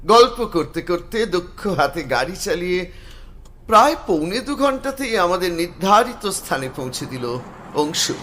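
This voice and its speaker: An actor playing a cheerful young male art student who narrates the story in an expressive, literary audio-drama style. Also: high-pitched, a little hiss or hum, exaggerated voice. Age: young adult.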